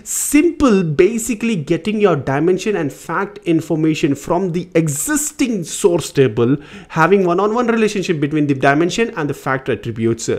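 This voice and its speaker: A man's voice: fairly high pitch, moderate pace. A man in his thirties hosting his own channel, explaining data concepts to camera in an eager, emphatic way from a small home studio. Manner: eager, emphatic, lively delivery with hand gestures. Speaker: data educator and channel host. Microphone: studio mic on boom beside him.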